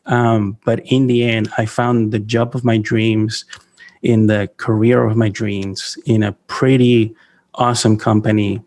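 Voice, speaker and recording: Venezuelan-born man, halting podcast guest. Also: lowish voice, flat delivery, darkish tone. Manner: halting, many fillers and restarts, laughs often. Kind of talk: casual interview talk.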